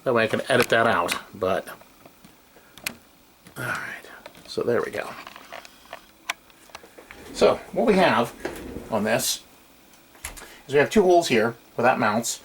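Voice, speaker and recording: A man's voice: mid-range voice, sing-song, slow, pauses often, a bit bright. A middle-aged man giving a casual, unscripted hands-on demonstration at a workbench, recorded on a camera microphone in a small room. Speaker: hobbyist electronics restorer. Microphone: camera microphone at a short distance. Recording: noisy amateur camcorder room recording.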